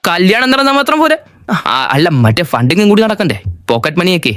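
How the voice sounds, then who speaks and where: Man voicing a lively, freeloading young pal. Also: high voice, fast talker, no echo, studio quality, full character voice. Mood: eager. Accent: Kerala. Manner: eager, naive. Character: freeloading young friend, greedy and naive.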